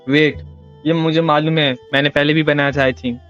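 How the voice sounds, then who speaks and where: An Indian-accented woman chatting casually on a game stream. Her voice is low and lively and comes in very quick bursts. The mic sounds dull and lo-fi. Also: faint music behind. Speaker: live streamer. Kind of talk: casual game stream commentary in Hindi-English mix.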